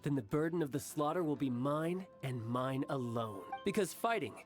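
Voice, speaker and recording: A man voices a young, battle-loving game warrior with a sly, self-assured manner. The speech is theatrical and teasing, with lively swings in pitch, over light music. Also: normal speed, lightly acted. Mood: cocky, battle-hungry. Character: cocky young male warrior who relishes battle, sly and smooth. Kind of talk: video game voice acting.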